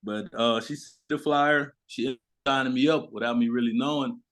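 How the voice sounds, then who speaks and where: A man's voice: mid-range voice, moderate pace, fairly bright tone. Young man, casual storytelling. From born in South Sudan, grew up in Memphis, USA. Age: young adult. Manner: informal, slangy. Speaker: guest, basketball player.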